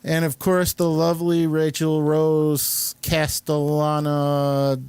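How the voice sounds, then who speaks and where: A man's voice: on the high side, monotone, slow, darkish tone, clean tone, no echo. A man hosting a talk show, chatting casually with a rambling, informal and complimentary manner. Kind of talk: casual studio chat, introducing guests.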